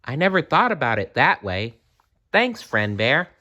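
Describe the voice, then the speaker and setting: A man's voice: medium pitch, sing-song, a little slow, sharp tone, muffled recording, pitched above the performer's own voice. A man voicing a young schoolboy in a children's storybook, sweet, earnest and a little hesitant. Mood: thoughtful. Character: young schoolboy, mischievous but sweet and unsure.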